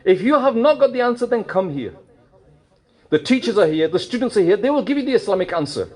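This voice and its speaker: A man giving a mosque sermon, animated and full of rhetorical questions, slipping in Urdu and Punjabi phrases. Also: high-pitched, fast talker. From United Kingdom. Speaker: preacher.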